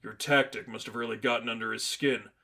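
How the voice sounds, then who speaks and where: A man's voice: mid-range voice, fairly even intonation, brisk, vocal fry, pushed and bright. A stern adult male masked crimefighter, read by a man narrator, terse and humorless. He speaks seriously in a storybook superhero tale.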